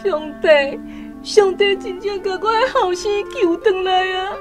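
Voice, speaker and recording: A woman's voice: very high-pitched, unhurried, music in the background. A woman playing an emotional, devout mother in a radio drama, with a Taiwanese accent. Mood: overjoyed disbelief.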